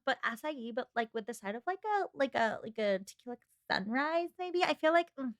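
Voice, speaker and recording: Woman doing a snobby valley girl socialite, airy, drawn-out, giggly, close and dry. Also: sits high, lively intonation, no echo. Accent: Californian valley girl, put on.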